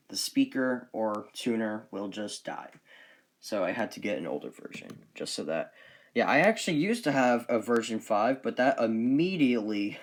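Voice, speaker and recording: Male collector, casual unscripted show-and-tell, medium pitch, halting delivery that trails off. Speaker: collector presenting weather radios.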